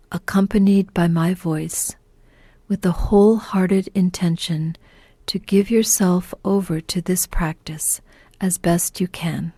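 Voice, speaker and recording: Slow calm lady doing guided meditation, soft breathy half whisper, a bit low, gentle and unhurried. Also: no room sound. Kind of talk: guided meditation, scripted narration.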